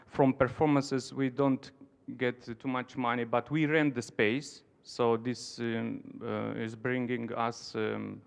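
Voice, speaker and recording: A man with a Romanian accent speaks at an informal conference in a creaky yet resonant voice. His non-native English comes haltingly, with pauses to search for the right word. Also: mid-range voice. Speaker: dance centre founder, conference participant.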